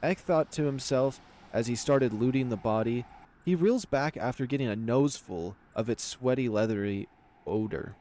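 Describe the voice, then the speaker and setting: A man's voice: mid-range voice, moderate pace, warm tone. Man reading a visual novel aloud. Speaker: video game let's player.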